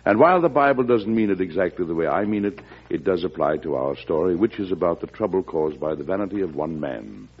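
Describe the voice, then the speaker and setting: An actor playing a wry, firm wartime American president in an old-time radio drama, measured and folksy, with an American accent. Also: male voice, sits low, lively intonation, husky, exaggerated voice. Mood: genial storytelling. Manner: folksy, measured, dryly humorous. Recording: muffled vintage radio recording.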